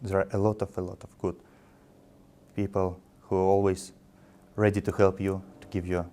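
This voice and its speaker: A man's voice: sits low, level tone, moderate pace, dark tone. A man representing a community group, speaking careful, formal, non-native English.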